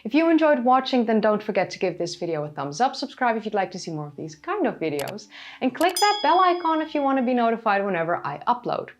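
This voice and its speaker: Raspy, lively woman narrating history. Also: fairly high pitch, fast. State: hoarse from recent illness. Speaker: history channel host. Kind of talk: scripted educational narration.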